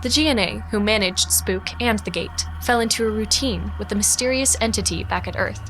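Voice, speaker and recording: An actress narrating a sci-fi audio drama as a matter-of-fact historian, measured and explanatory. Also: female voice, medium pitch, a bit bright, close to an everyday voice, low-quality recording. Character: matter-of-fact female historian recounting a space expedition. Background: music.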